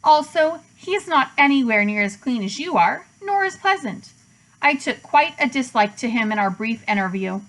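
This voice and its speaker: A clever, aging female spider from a storybook voiced by a woman reader. She speaks in a measured, polite and candid way, with dry wit and a fairly high voice. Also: expressive, a bit bright, low-quality recording.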